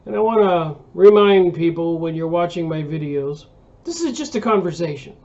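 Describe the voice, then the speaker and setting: A man's voice: a little high, expressive, moderate pace, warm tone, dull audio. An American man in his sixties, hosting a book review channel, talks in a casual, rambling monologue full of fillers and restarts. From United States. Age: 60s.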